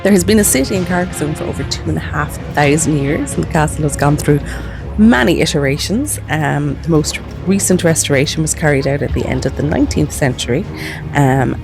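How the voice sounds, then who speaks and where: A woman's voice: low voice, lively intonation, brisk, creaky. A woman giving a casual, chatty travel voice-over, explaining things as she goes. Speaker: travel vlogger. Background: background music with guitar.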